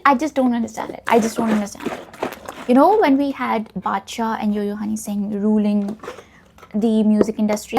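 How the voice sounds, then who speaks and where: A woman's voice: fairly high pitch, darkish tone. A young woman whose first language is Urdu, chatting reflectively in a casual get-to-know-me vlog. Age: young adult. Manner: reflective, rambling, self-deprecating. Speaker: vlogger.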